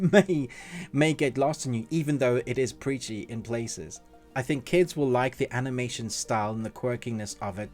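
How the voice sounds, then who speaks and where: A man presents a review as host in a casual, conversational way. His voice sits at an average pitch for a man. He shares opinions plainly, with faint music playing underneath. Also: a little mellow. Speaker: online reviewer and show host. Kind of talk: casual review monologue to camera.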